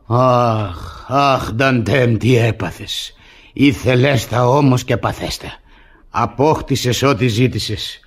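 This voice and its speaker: An actor playing an exasperated, humiliated peasant husband in a classic radio comedy, grumbling and indignant in a theatrical way, with a husky voice. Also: male voice, medium pitch. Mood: rueful, scheming.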